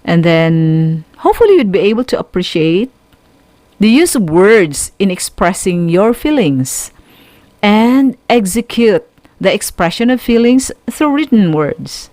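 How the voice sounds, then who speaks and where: A woman with a Filipino accent giving a scripted radio lecture as a teacher, deliberate and instructional, speaking directly to her students. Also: medium pitch, big pitch swings, darkish tone, no echo. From Philippines. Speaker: teacher hosting a radio school lesson.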